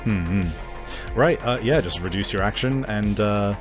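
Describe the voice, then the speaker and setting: A man's voice: lowish voice, measured pace, over music. A man narrating an improvised tabletop game as game master, feeling out his phrasing in hesitant pieces.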